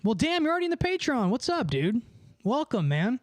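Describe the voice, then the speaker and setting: A man's voice: very high voice, wildly swooping pitch, fairly quick, no room sound. A man livestreaming, chatting casually with viewers in a rambling, profane, slangy way. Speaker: livestreamer and musician.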